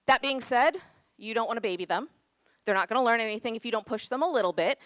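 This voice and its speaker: A woman in her thirties from the United States presents at a conference in a chatty, self-deprecating way, with plenty of fillers. Her voice sits a little high and sounds smooth and crisp. The sound is dry and close. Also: no room sound. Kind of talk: conference talk. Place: conference room.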